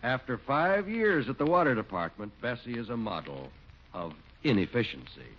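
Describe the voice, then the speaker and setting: A man's voice: medium pitch, very animated, normal speed. Wry old-time radio announcer, man. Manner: smooth, wry storytelling delivery. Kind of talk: scripted radio narration. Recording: dull lo-fi 1940s radio recording with hiss.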